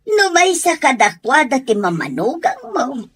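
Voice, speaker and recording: A woman playing a gentle, loyal defender addressing her madam in an Ilocano radio drama, with an Ilocano accent. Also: high-pitched, huge pitch swings, measured pace, exaggerated voice. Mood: gentle, reasoning. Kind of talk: Filipino radio drama acting.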